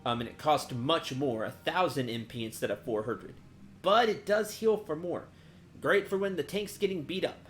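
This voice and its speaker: A man's voice: a little high, moderate pace, fairly bright tone. Casual male game streamer over faint music. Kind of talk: casual live game commentary.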